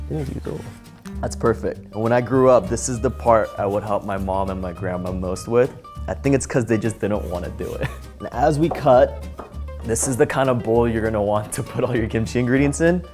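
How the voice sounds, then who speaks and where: A young man with an American accent talks through a cooking demonstration in a relaxed, friendly way. His voice sits on the low side. Light guitar music plays faintly behind him. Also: normal speed. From Los Angeles. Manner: relaxed, friendly explaining while chopping. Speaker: kimchi workshop teacher. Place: small home kitchen.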